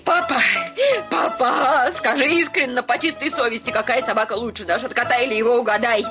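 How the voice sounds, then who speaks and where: A woman's voice: a little high, fairly even intonation, a little fast, music in the background, close to an everyday voice. An actress playing a stubborn young landowner's daughter, argumentative and insistent, stretching her stressed words in theatrical radio comedy style. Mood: furious, shrill.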